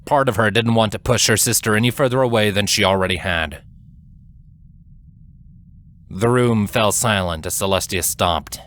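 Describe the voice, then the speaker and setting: A man reading scripted dramatic fiction as a narrator, in a measured, solemn storytelling manner. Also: lowish voice, a bit bright.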